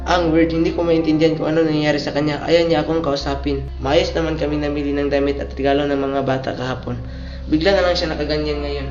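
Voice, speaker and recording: A man's voice: fairly high pitch, flat delivery, fast, lightly acted. Radio drama part: earnest, anxious husband played by a man, courteous delivery, muffled lo-fi sound in an echoing room with faint music. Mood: worried, polite. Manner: respectful, earnest, confiding. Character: polite, worried young husband and father. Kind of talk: amateur radio drama acting. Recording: echoey room, dull lo-fi sound.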